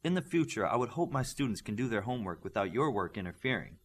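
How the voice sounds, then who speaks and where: A man voicing a brisk, proper and pointed female schoolteacher in an audiobook. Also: lowish voice, sing-song, slight background noise. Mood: stern. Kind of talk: audiobook character voice.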